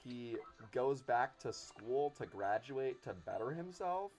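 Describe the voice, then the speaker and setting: A man's voice: mid-range voice, animated, slow. Man chatting casually over game music. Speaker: podcast guest. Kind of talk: casual chat about cartoons.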